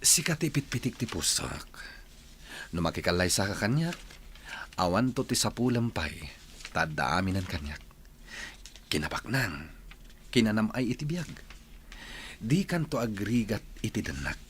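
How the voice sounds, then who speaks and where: A man's voice: lowish voice, very animated, normal speed, long pauses, slight background noise. A man playing a rich, courteous and ardent young suitor in a Filipino radio drama. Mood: ardent, pleading.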